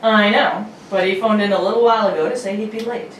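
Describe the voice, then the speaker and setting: A woman's voice: medium pitch, steady tone, quick pace, far from the mic, close to an everyday voice. A woman playing a casual, folksy stage doorman, colloquial and helpful, in a radio serial read-through. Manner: colloquial, offhand. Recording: echoey room, off-mic, faint noise.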